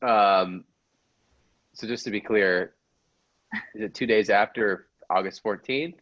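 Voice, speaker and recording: A man's voice: on the low side, takes it slowly, fairly bright tone, dry recording. A man chatting casually in short, agreeable replies as a podcast cast member, on slightly dull online-call audio. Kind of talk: casual chat.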